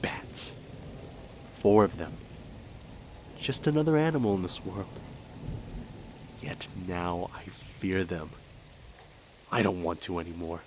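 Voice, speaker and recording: A stern, brooding hunter with a low voice and curt delivery. It is radio drama, with some rain and thunder noise around him. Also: male voice, slow, pauses often.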